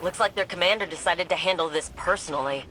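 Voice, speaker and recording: Low-voiced, determined scientist ally. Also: female voice, fast, bright, forceful tone, a little hiss or hum, rough recording. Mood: eager, urgent. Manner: earnest, informal, direct. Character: brisk, determined female scientist on mission comms. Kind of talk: video game voice acting.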